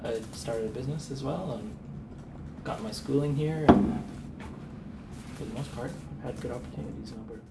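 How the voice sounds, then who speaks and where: A man's voice: mid-range voice, a little fast, gravelly, long pauses. A man with a Canadian accent giving a casual, unscripted answer at a group meeting. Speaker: meeting participant. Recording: distant mic, echoey, very noisy room.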